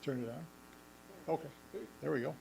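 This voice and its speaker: A man presenting a facilities update at a school board meeting, halting with many ums and restarts, and losing his voice. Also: on the high side, creaky, background noise. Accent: Western New York. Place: school administration meeting room.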